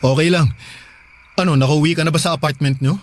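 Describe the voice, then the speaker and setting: A man's voice: a little high, fairly even intonation, a little fast, lightly acted. A man playing an earnest, polite young husband in a Tagalog radio drama. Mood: concerned. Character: earnest young working husband, devoted and anxious.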